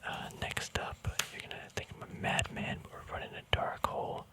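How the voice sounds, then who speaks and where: A man speaking in a soft, unvoiced whisper, relaxed and chatty, hosting a casual ASMR walkthrough. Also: normal speed. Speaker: ASMR video host.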